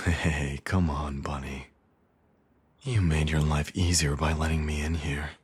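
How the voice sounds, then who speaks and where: A man's voice: very deep voice, husky, exaggerated voice. A man playing a smug, teasing supervillain and doting father in an intimate ASMR audio roleplay, coaxing and sardonic.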